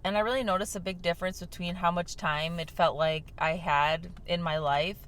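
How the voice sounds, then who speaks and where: A young woman hosting a casual vlog, chatting softly with lots of fillers while sipping a drink, her voice slightly hoarse. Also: on the low side, fairly even intonation, normal speed. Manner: talking softly on purpose, filler-heavy and rambling. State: slightly hoarse from shouting the day before. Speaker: vlog host. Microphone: mic without windscreen.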